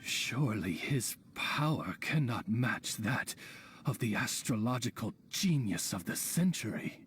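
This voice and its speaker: A man voicing a courtly, earnest gentleman in a video game, formal and florid in speech. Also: medium pitch, lively intonation, unhurried, a little hiss or hum. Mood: earnest, indignant.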